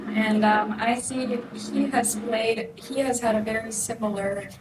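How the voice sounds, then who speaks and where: A woman's voice: on the high side, fairly even intonation, unhurried. A woman in the audience asking a question, hesitant and searching for words with many "um"s. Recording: distant roomy mic with background noise.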